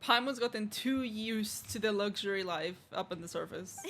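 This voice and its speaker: A woman's voice: medium pitch, normal speed, a bit bright, slight background noise. A woman streamer giving offhand, slangy reactions as casual commentary on a game playthrough. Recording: game music behind the voice.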